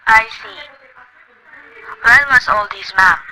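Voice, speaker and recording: An actor playing a weary, patient desk police sergeant who talks in a calm, placating, slightly patronizing way in an amateur radio play. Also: male voice, sits high, takes it slowly, noisy background.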